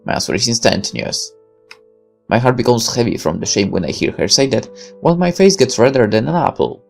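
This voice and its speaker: Gravelly man narrating a visual novel. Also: mid-range voice, animated, fairly quick, soft background music. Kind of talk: dramatic first-person visual novel read-aloud.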